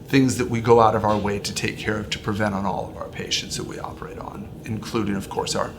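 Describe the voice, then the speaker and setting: A man's voice: fairly low pitch, flat delivery, fast. A man speaking as a surgeon, explaining in an unscripted interview style. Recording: clear ambient background noise.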